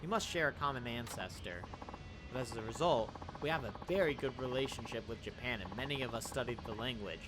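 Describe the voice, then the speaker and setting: A man's voice: mid-range voice, fairly quick, lightly acted. A man reading aloud the lines of a haughty young woman who claims to be a runaway princess, in formal, stiff phrasing, over game music.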